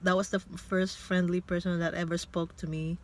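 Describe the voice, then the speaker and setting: A woman in her fifties, a Tagalog speaker, telling a personal story in a casual vlog. She talks in a hesitant, reflective way and pauses often as she thinks aloud. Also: lowish voice, little pitch movement. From Philippines. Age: 50s. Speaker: vlogger. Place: inside a car.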